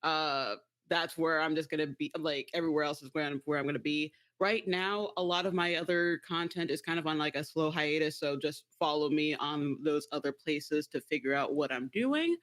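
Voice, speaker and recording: Casting: playful tabletop guest player, bright forceful tone, middle register, slightly theatrical. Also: medium pitch, normal speed, hardly any pauses. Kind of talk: casual sign-off with plugs.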